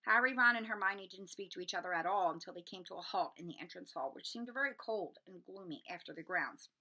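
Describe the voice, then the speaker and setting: A woman reading a children's story aloud in an expressive, dramatic storytelling manner. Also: lowish voice, a little fast, low-quality recording. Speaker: read-aloud narrator.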